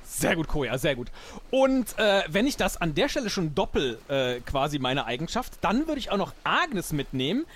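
A man's voice: fairly high pitch, sing-song, normal speed, fairly bright tone. A man playing a small, older, boastful pirate recruit in an improvised audio play, cocky yet hesitant. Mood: eager, cocky.

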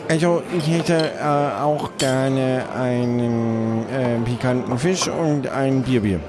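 A courteous pirate sidekick played by a man with a medium-pitched voice. He delivers odd remarks deadpan in an improvised comedy, with clear music behind. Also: slow, lightly acted. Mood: placid, polite. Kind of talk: improvised comic audio play.

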